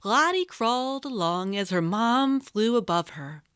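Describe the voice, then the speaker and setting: A woman's voice: on the high side, expressive, unhurried, a bit bright, smooth, no echo, studio quality. A woman narrating a storybook for young children as a storyteller.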